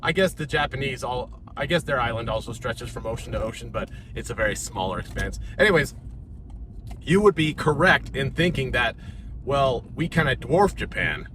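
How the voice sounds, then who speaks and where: Rambling American fitness coach, traffic behind. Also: male voice, mid-range voice, animated, normal speed. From United States. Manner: rambling and jokey, stutters when thinking. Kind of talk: casual talking-head vlog. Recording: noisy with car sounds behind.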